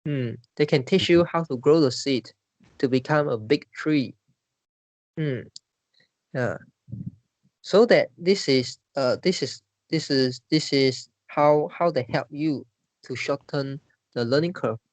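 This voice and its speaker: A man's voice: fairly high pitch, takes it slowly, pauses often, a little mellow, no room sound. A man with a Malaysian English accent, leading a casual discussion and stumbling through many restarts and fillers. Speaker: discussion leader.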